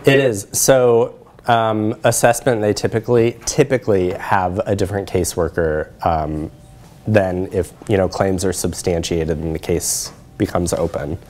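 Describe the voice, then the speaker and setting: Middle-aged male academic speaker, lower register, informal lecture style. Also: fairly even intonation, unhurried, darkish tone, slight background noise. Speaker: lecturer presenting research. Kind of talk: conversational academic talk with questions. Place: lecture room, indoors.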